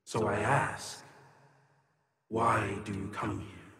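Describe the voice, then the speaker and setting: A man voicing an aged, troubled woman mystic in a fantasy game, halting and wistful, with an echoing reverb. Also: on the low side, steady tone, bright, forceful tone, voice pushed down, full character voice. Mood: solemn, wistful. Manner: slow, halting, brooding. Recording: roomy voice with long reverb.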